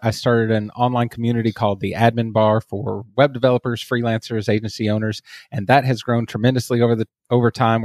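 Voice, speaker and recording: Relaxed Texan man on a dry mic. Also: mid-range voice, dry recording. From Texas. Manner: relaxed and self-deprecating, with filler uhs. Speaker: podcast guest. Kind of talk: casual podcast conversation.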